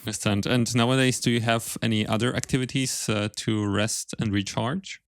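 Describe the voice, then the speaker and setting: A man's voice: mid-range voice, fairly even intonation, moderate pace, dry recording, clean studio sound. A man hosting an interview, asking casual questions in a polite, appreciative way. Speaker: podcast host, interviewer.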